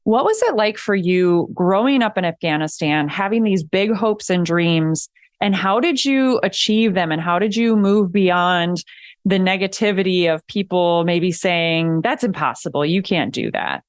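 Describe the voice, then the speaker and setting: A woman's voice: lowish voice, animated, measured pace. A woman hosting a podcast interview, enthusiastic and asking long prepared questions. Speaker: podcast host.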